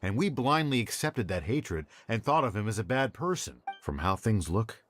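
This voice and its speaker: A man voicing a regretful former cult member in a game, measured and sober. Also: lowish voice, sing-song, faint music behind.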